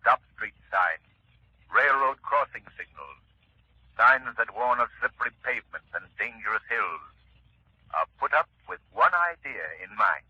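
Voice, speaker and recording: A man delivering a declamatory 1930s radio safety message with forceful delivery. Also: mid-range voice, animated, measured pace, breathy edge, dry recording. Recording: dull, dry 1930s radio recording.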